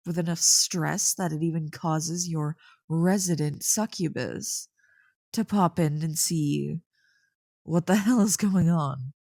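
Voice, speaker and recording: A woman's voice: on the low side, gravelly, mellow, no echo, studio quality, full character voice. A woman playing a snarky tsundere young succubus who secretly cares, teasing and exasperated in an ASMR roleplay. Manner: teasing, exasperated, sighing, grudgingly soothing.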